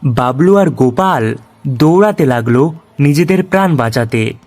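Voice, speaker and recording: Slow male horror-story narrator. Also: mid-range voice, animated, a little mellow, faint music behind.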